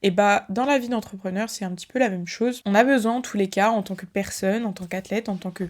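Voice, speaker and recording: A woman's voice: medium pitch, quick pace. Tired chatty woman podcasting solo. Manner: chatty, many fillers, addresses listeners directly. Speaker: podcast host and fitness creator. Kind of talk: casual solo podcast talk.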